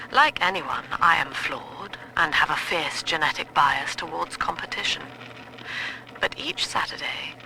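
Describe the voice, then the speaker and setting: Here is a cold female psychiatrist turned cult leader in a video game. Her voice is very bright and crisp-edged with a gravelly creak, and she speaks in measured, grandiloquent phrases. The audio log carries faint noise. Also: fairly low pitch, exaggerated voice, rough recording. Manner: measured, clinical, grandiloquent, commanding. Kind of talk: dramatic audio-diary monologue.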